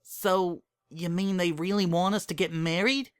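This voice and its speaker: A man's voice: sits high, steady tone, unhurried, smooth, no room sound, clean studio sound, voice pushed up. A man voicing a distraught young female cartoon pony, plaintive and blubbering. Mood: hopeful, sniffling. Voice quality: whimpering, blubbery.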